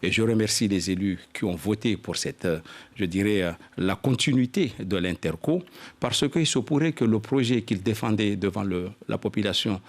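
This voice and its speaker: Male interview guest, local politician, lower-pitched voice, formal register, halting and explanatory phrasing. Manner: halting, repeats "je dirais", explanatory.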